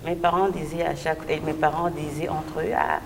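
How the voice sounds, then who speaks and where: A woman's voice: sits low, little pitch movement, a little fast, vocal fry. A woman from Belgium, a gospel singer and interview guest, giving a personal testimony and often hesitating to find her words. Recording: distant mic, echoey hall, noisy background.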